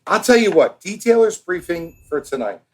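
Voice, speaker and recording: An American man co-hosting a podcast in casual, bantering talk, with an animated, showy and teasing manner. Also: high-pitched, moderate pace. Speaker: podcast co-host.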